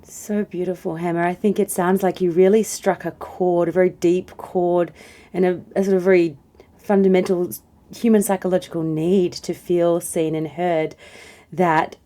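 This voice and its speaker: A woman co-hosting a podcast, gently affirming her guest before asking a long, slightly halting question. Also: on the low side. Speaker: podcast co-host.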